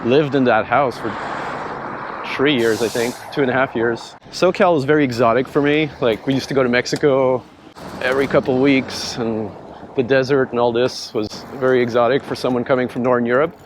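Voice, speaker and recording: A man in his thirties with a French accent talks easily as he rides along city streets. He circles back over his words and repeats himself, relaxed and unhurried. Faint traffic murmurs behind him. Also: medium pitch. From France. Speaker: startup founder. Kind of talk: casual conversation while riding.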